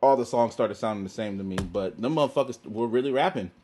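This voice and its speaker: A man's voice: medium pitch, fast, darkish tone, a little hiss or hum. A man hosting a podcast in casual banter, slangy and teasing, swearing freely. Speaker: podcast host.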